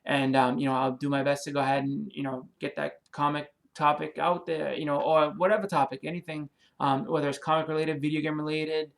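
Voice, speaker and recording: Man, Boston accent, slightly high voice, rambling casual vlog talk, lots of fillers, friendly. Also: little pitch movement, fairly quick, creaky, few pauses. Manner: rambling, many ums and you-knows, restarts; friendly, apologetic. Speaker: comic and movie YouTuber. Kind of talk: casual vlog, thanking subscribers.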